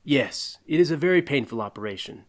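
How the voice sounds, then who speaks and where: A man acts a kindly old physician in an audio drama. His voice sits at a middle pitch. He talks courteously and earnestly, with measured devotion. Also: lively intonation, close to an everyday voice. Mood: concerned, gentle. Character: kindly, devout, old retired family doctor.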